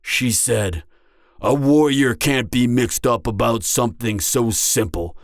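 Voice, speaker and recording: A man playing a vulnerable, earnest genderfluid half-giant mercenary in an intimate audio roleplay, speaking haltingly with stammers. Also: medium pitch, no echo, clean studio sound, natural voice. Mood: frustrated, disappointed.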